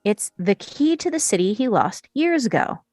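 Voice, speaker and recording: A woman's voice: medium pitch, big pitch swings, normal speed, darkish tone, dry recording, soft background music. Woman reading screenplay narration. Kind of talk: scripted narration, table read.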